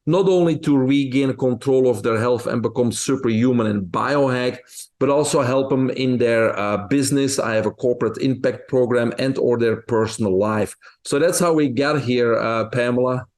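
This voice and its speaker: A man's voice: medium pitch, moderate pace, a little mellow. Belgian-accented man in his fifties. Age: 50s. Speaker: guest health coach and speaker. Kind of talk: conversational interview answers.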